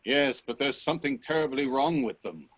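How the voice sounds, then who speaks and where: An actor playing a bold, probing scientist and time traveller in a radio play, speaking in an assertive, professorial way. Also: male voice, mid-range voice, lively intonation, moderate pace, fairly bright tone, no echo. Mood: probing, dissatisfied. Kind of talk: radio drama acting. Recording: noisy video-call audio with clicks.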